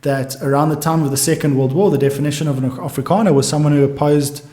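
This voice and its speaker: A man's voice: on the high side, fairly even intonation, dark tone. Man, thoughtful, echoey room. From South Africa. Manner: musing, thinks aloud in halting restarts. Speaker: guest economist. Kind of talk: casual podcast conversation.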